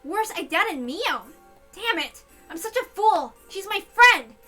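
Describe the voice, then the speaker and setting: A woman voicing a teenage boy narrator who broods and then berates himself, her voice hoarse. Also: very high voice, sing-song, takes it slowly, soft background music, pitched above the performer's own voice, exaggerated voice. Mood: self-reproach. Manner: swings from musing to shouting at himself. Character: teenage boy narrator, jumpy and self-blaming.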